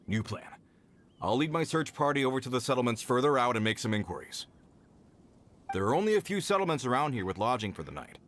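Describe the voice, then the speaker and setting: An actor playing a brisk, practical male leader of a search party in a game. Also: medium pitch, big pitch swings, fairly bright tone, a little hiss or hum. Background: game music.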